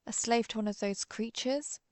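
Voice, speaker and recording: A woman playing a cool, menacing, centuries-old vampire hunter in a roleplay audio drama, deliberate and sardonic as she interrogates the listener. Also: on the high side, darkish tone, no room sound, close to an everyday voice. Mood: wary, demanding. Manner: deliberate, interrogating, dryly threatening.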